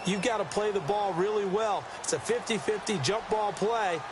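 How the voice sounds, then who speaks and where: A man's voice: sits high, moderate pace, natural voice. An American man gives emphatic, explanatory color commentary as an ex-player football analyst in a video game. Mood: explaining. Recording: game audio under loud stadium noise.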